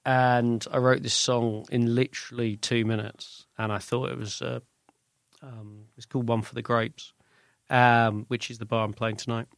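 A British man speaks as a musician being interviewed, with a creaky edge to a mid-pitched voice. He talks informally and loosely, circling back to correct himself. The sound is dry, with very little room in it. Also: level tone, normal speed, fairly bright tone, dry recording. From Britain. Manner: rambling, self-correcting, informal. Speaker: musician guest, singer-songwriter. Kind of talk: casual interview chat.

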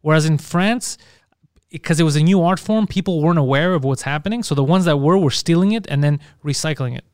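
Animated, foul-mouthed Montreal comedian. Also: male voice, fairly high pitch, a little fast, clean tone, dry recording, clean studio sound. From Montreal, Canada. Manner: animated storyteller, swears freely, laughs often. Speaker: comedian guest. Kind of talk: casual podcast chat.

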